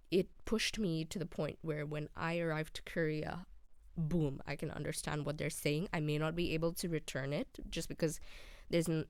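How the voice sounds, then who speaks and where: A young woman hosting a relaxed solo podcast, rambling casually with frequent ums; English is not her first language. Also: low voice, dry recording, studio quality. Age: young adult. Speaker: podcast host.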